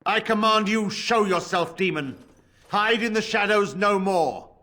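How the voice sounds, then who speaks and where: An actor playing a grave, pious templar in a fantasy video game, speaking formally and solemnly. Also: male voice, high-pitched, a little slow, bright, forceful tone. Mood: commanding. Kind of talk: video game drama acting.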